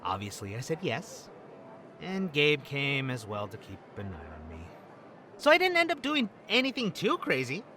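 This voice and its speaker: A man's voice: mid-range voice, huge pitch swings, moderate pace, noisy background. An actor playing a wry, jokey man with a troubled past, talking in a casual, slangy, hesitant way in an audio drama. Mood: playful, casual. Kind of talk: acted audio drama dialogue.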